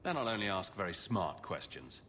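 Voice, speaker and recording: An actor playing a cool, quick-witted adventurer hero in a video game, with a dry, teasing delivery. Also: male voice, sits low, sing-song, fairly bright tone. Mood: cool, wry. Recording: clear background noise.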